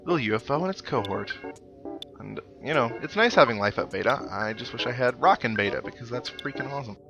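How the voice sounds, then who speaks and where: A man hosts a video game let's play in a casual, conversational way. His voice sits in a middle register, and he drops wry little asides as he comments. Game music plays clearly behind him. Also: medium pitch, expressive, fast talker, highs cut off.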